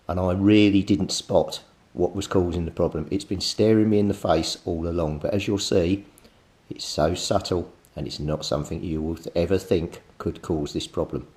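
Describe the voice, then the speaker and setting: A man's voice: low-pitched, darkish tone, husky, no room sound. Methodical man at a workbench. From Britain. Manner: plain, methodical, thinks aloud with fillers. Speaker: radio repair hobbyist. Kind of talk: technical repair walkthrough.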